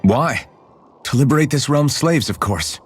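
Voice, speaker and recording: A man's voice: medium pitch, expressive, a little slow, slightly rough. A man voicing a determined young male swordsman hero in a video game, earnest and resolute. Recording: game audio over loud music.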